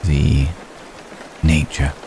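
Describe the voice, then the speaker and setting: A man playing an ancient, gentle, courtly vampire in an intimate ASMR roleplay, speaking tenderly in measured, formal phrases with hesitant pauses. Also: extremely low pitch, background noise, exaggerated voice. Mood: earnest, confiding. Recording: close recording with steady rain ambience.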